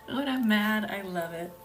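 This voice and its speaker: A woman from the American South hosting a live reiki session, talking softly and often in a half-whisper, hesitant and full of fillers as she answers viewers' comments. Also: mid-range voice, animated, unhurried. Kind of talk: live-stream energy healing with viewer chat. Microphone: phone live stream. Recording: distant mic with music behind.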